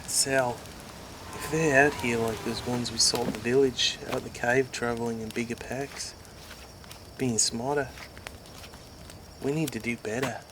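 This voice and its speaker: A man voicing an excitable, hopeful stranded man, who speaks up eagerly and swears casually. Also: mid-range voice, measured pace, long pauses, close to an everyday voice. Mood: urgent. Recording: loud background noise with clicks.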